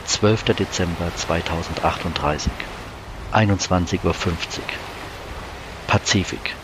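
A man acting as the sober narrator of a science-fiction radio play, measured and solemn. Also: low voice, steady tone, background noise. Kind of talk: scripted dramatic narration.

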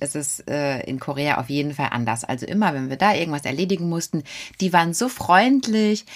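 A woman co-hosting a casual podcast conversation in an expressive, colloquial and engaged way. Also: deep voice. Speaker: podcast co-host.

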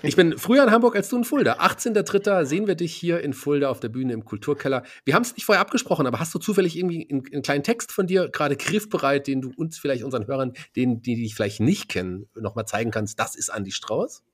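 Quick, husky man hosting a podcast. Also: a little high, expressive. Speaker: podcast host. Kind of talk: casual interview chat.

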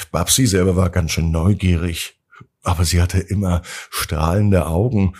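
A man telling a children's bedtime story as host, gently addressing his young listeners directly. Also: deep voice, husky.

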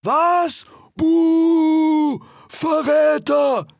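A man voicing small, excitable animals and birds in a storybook. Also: very high voice, a little slow, creaky, no echo, studio quality, voice pushed up, heavily acted. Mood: indignant. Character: excitable, shrill little birds and animals of a crowd.

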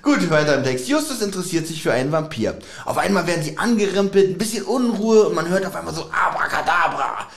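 A man's voice: fairly high pitch, expressive, quick pace, few pauses, fairly bright tone, roomy sound. A man co-hosting a casual chat, with a teasing and wry manner. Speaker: podcast co-host.

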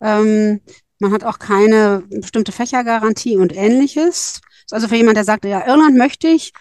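A woman's voice: medium pitch, moderate pace, a little mellow, dry recording, studio quality. A woman advising on school exchange programs, explaining in thoughtful, careful podcast talk with frequent fillers.

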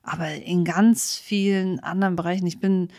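A woman's voice: fairly low pitch, fairly even intonation, moderate pace, a little mellow, dry recording, studio quality. A woman co-hosting a podcast in casual conversation, with a playful, teasing way of answering back. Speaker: podcast co-host.

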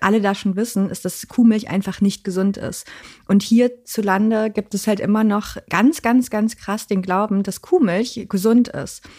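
A young adult woman, a founder, talking casually in a podcast interview, chatty with many fillers and quick asides. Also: medium pitch, a little mellow.

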